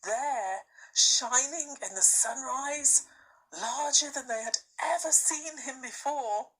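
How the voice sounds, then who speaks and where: A woman reading a children's story aloud as expressive scripted narration. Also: high voice, takes it slowly, breathy edge, low-quality recording. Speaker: storybook reader, teacher.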